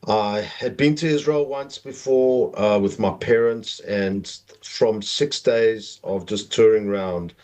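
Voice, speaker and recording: Deep, mellow South African man. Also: takes it slowly, hardly any pauses. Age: middle-aged. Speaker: podcast guest. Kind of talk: conversational personal storytelling.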